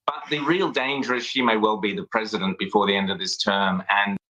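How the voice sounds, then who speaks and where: A man's voice: lowish voice, steady tone, brisk, sharp tone, lo-fi sound. A man giving blunt, scornful news commentary.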